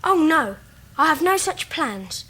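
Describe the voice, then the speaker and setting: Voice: high voice, big pitch swings, slow pace, sharp tone, full character voice, poor audio. A young performer playing a polite, earnest schoolboy in a radio drama, speaking courteously and candidly.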